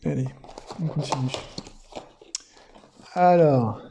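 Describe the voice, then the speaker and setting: A man's voice: a little high, slow, mellow, muffled recording, a little hiss or hum. A man presenting a product unboxing in casual, unscripted commentary, thinking aloud somewhat hesitantly.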